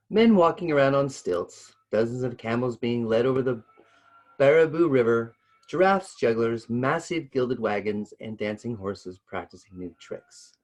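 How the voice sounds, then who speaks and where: A man's voice: mid-range voice, darkish tone. A man reads a story aloud expressively, now and then stumbling over a word and adding casual asides. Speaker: co-host reading a story aloud.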